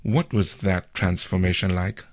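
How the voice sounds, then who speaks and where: Low-voiced male radio host. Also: fairly even intonation. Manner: measured, with frequent "uh" fillers. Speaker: radio talk show host. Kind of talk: radio interview.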